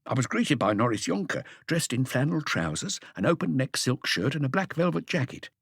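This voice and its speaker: A man's voice: medium pitch, big pitch swings, fairly quick, creaky, slightly rough, no room sound, clean studio sound, heavily acted. A man voicing an earnest, slightly awkward thirty-five-year-old police inspector reporting in careful detail in an audiobook. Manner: detailed, deferential reporting with asides.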